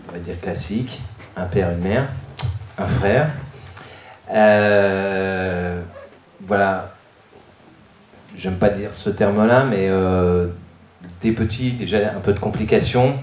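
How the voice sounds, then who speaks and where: Hesitant soft-spoken man, echoey room. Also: fairly low pitch, slight background noise. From France. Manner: hesitant, many fillers and restarts. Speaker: guest giving a testimony. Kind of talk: personal testimony at a church youth event.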